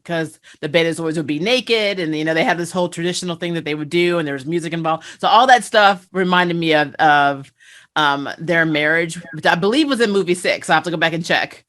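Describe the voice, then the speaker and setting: A woman hosting a casual fan review, chatting in an animated, storytelling way. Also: lowish voice. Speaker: talk show host and interviewer.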